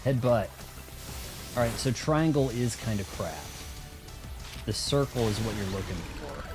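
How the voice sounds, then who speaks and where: A man's voice: lowish voice, expressive, unhurried. A man giving casual, rambling let's play commentary on a video game, talking to himself as he goes. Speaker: streamer playing a video game. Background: game music.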